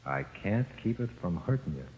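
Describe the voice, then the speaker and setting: Sinister, echoing ghost convict. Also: male voice, low-pitched, sing-song, fairly quick, background noise. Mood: grim, ominous. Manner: slangy, matter-of-fact, insinuating. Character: sinister, coaxing male ghost of an executed convict. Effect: long ghostly reverb. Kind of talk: 1940s radio drama acting. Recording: very muffled old radio, long reverb.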